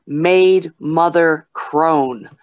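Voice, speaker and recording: An older woman speaking as a panelist in a casual online discussion, reflective and measured. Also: low voice, darkish tone, no room sound. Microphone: video call. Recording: dull, dry lo-fi call audio.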